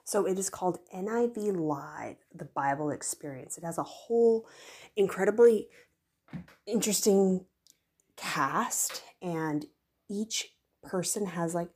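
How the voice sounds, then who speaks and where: A woman's voice: on the low side, a little mellow, breathy edge. A woman podcast host talking on her own to listeners in an emphatic, gushing way. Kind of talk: solo talk to listeners.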